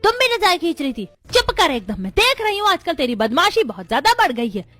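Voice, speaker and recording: Woman as high-voiced scolding grandmother. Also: big pitch swings, a little fast, fairly bright tone, no room sound, faint music behind, heavily acted. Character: stern scolding grandmother of a poor household.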